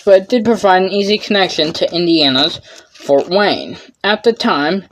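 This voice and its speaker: A woman's voice: on the low side, low-quality recording. A young woman presenting a history lesson from a script, stumbling and restarting now and then as her voice wears out. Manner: reads carefully with frequent stumbles and restarts. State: losing her voice.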